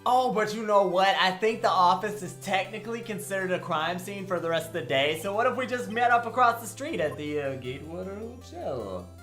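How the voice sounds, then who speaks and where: A man plays an excitable young male defense lawyer from a visual novel game. The delivery is jokey and earnest, with widely swinging pitch. The room rings a little and soft music sits behind. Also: fairly quick, hardly any pauses, echoey room. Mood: flustered, joking. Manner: wisecracking, dramatic, theatrical.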